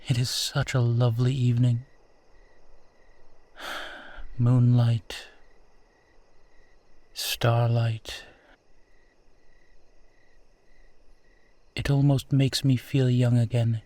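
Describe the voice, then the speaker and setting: Man playing a courtly, unhurried ancient vampire gentleman. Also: medium pitch, level tone, no room sound, natural voice. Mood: wistful, courtly. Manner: formal, old-fashioned, gently teasing, unhurried. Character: suave, courtly centuries-old male vampire who looks twenty-eight. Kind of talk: roleplay audio drama addressed to the listener.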